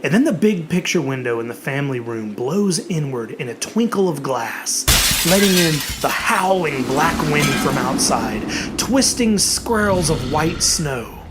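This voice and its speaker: A narrator reading a horror story in a theatrical, dramatic way, with emphatic, drawn-out words. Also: mid-range voice, sing-song, over loud music.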